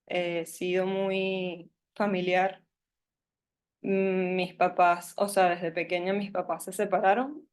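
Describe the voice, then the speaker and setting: Slow, hesitant young Venezuelan woman. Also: fairly low pitch. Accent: Venezuelan Spanish. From Venezuela (Caracas). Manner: hesitant, lots of fillers and restarts, nervous. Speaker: workshop speaker. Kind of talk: personal storytelling talk.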